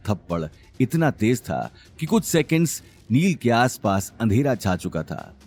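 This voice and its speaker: Male narrator for serial audio drama: medium pitch, very lively intonation, dramatic scripted read, light music bed. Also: a little slow. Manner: dramatic, expressive.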